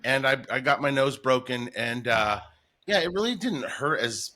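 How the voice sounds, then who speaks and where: A man's voice: mid-range voice, animated, moderate pace, bright, forceful tone. An American man hosting a casual interview, talking in an enthusiastic, rambling storyteller's way. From Denver, United States. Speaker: podcast host, former morning radio voice talent.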